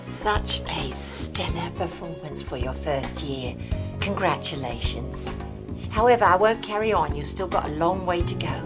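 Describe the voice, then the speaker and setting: An actress playing a stern, sardonic female head of an animal hospital in a video game, with theatrical, drawn-out emphasis. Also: on the low side, lively intonation. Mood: formal approval. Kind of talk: scripted video game cutscene acting. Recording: dull lo-fi game audio, loud music.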